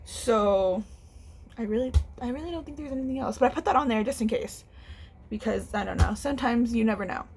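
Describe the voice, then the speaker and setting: A woman's voice: fairly high pitch, a little fast, a little mellow, a little hiss or hum. A young woman, a book vlogger chatting casually at home, a little congested, rambling and restarting as she talks. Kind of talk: casual chat to camera. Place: home room.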